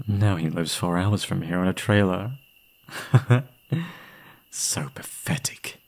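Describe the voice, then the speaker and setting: A young man playing an obsessive, gleeful yandere kidnapper in an intimate ASMR roleplay, doting on the listener in a breathy voice. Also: fairly low pitch, darkish tone. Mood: mocking. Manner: doting pet names, unhinged chuckling, swinging into whispers.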